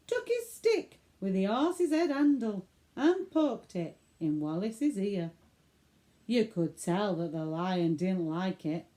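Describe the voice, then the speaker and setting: A woman with a Northern English accent recites comic verse as an expressive, theatrical bedtime storyteller for children. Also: fairly high pitch. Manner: theatrical, deliberate delivery.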